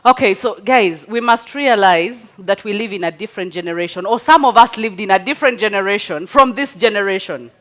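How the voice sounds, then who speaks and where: A middle-aged woman, not a native English speaker, giving conversational advice to a group in an animated, storytelling way with rhetorical questions. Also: mid-range voice, a little fast, hardly any pauses. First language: a local language, not English. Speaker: speaker answering parenting questions.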